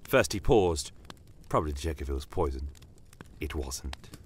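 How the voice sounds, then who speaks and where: A man voicing a shrewd, bitter non-human desert commander in a dramatic audio story, speaking in a measured, theatrical and courteously menacing way. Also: extremely low pitch, animated, slight background noise. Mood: measured storytelling. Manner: measured, theatrical, pointed rhetorical questions. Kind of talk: dramatic first-person audio story narration. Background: battle aftermath ambience.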